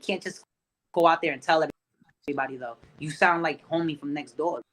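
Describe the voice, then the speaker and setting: A man's voice: on the high side, fairly quick, fairly bright tone. Slangy man in loose podcast chat. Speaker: podcast guest, rapper.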